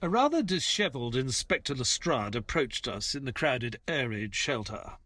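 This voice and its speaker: An actor playing an earnest, uneasy gentleman companion who narrates an audio play dramatically, with a husky voice. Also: medium pitch, big pitch swings, moderate pace, dry recording. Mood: grave.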